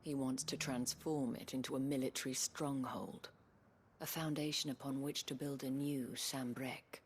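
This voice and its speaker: A weary dame in a fantasy game, with a very deep, breathy, hushed voice. She speaks with measured eloquence and worry, over faint ambient noise. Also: fairly even intonation. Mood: bitter, resigned. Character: worldly, anxious dame looking after her wards. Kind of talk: scripted video game drama.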